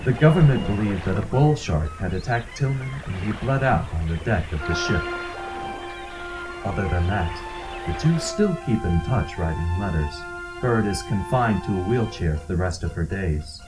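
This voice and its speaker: Male story narrator, fairly low, dramatic and varied intonation, loud musical bed. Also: lively intonation, moderate pace, over loud music, poor audio.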